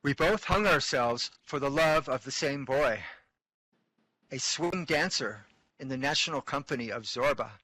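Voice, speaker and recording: A wistful young man voiced by an actor looks back on a lost love in a radio play. His voice is bright and clear, and the reading is gentle. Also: mid-range voice, animated, unhurried, no echo, rough recording.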